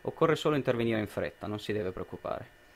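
Radio drama official, played by a young man: low-pitched, rapid, brusque, formal authority. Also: animated, vocal fry. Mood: officious, reassuring. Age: young adult. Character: brusque, officious male expert sent by an authoritarian regime. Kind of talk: amateur radio drama acting.

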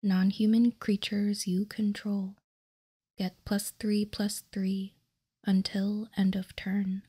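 A woman doing whispered ASMR in a soft, breathy, unvoiced whisper, reading out card text calmly and deliberately, close to the microphone. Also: medium pitch, dry recording. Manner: slow, deliberate, calm reading. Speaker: ASMR video host. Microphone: close microphone, dry sound.

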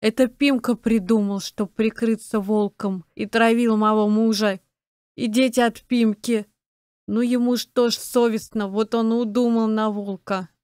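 A woman's voice: fairly high pitch, steady tone, unhurried, a little mellow, smooth, no room sound, clean studio sound, close to an everyday voice. A woman playing a guilt-stricken peasant wife in an audiobook, pleading humbly yet resolutely in folk speech. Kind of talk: audiobook character acting.

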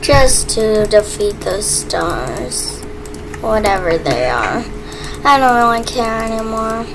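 A woman's voice: a little high, animated, takes it slowly, over music, rough recording. A young woman in casual, playful role-play chat with a friend while gaming, with a teasing way of talking. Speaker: gamer playing a role-play game with a friend.

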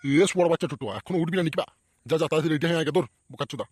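A man's voice: a little high, rapid-fire, darkish tone, husky, dry recording. A man voicing a bossy, scolding, foul-mouthed older male character in a slapstick comedy cartoon. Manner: scolding, blustering, swearing.

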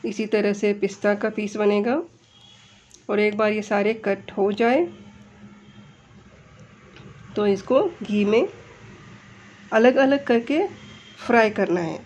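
A woman's voice: medium pitch, fairly even intonation, slow, long pauses, darkish tone, highs cut off, a little hiss or hum. A woman with an Indian accent gives step-by-step instructions as a home cook in a recipe voice-over. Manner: explanatory, step-by-step.

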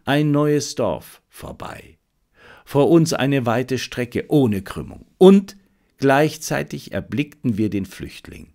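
Slow, expressive male audiobook narrator. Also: medium pitch. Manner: measured, expressive storytelling. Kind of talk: scripted dramatic narration of a detective novel.

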